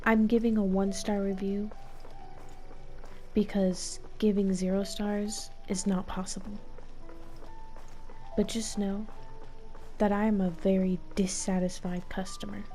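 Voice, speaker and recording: A woman streamer giving playful, theatrical gameplay commentary with deadpan joking, game music behind her. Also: mid-range voice, a little slow, pauses often. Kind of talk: playful live gameplay commentary with improvised dramatics.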